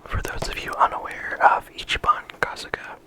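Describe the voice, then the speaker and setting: An ASMR creator giving a whispered, unhurried character discussion, often pausing and restarting mid-sentence. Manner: unvoiced whisper throughout.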